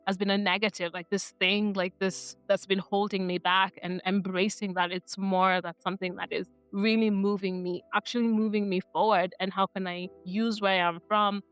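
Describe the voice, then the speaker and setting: A woman's voice: medium pitch, little pitch movement, moderate pace, few pauses, a bit bright, clear, resonant tone, no echo, soft background music. A middle-aged woman from Kenya giving an earnest, reflective talk to camera, often restarting her phrases and checking in with "right?". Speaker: vlogger.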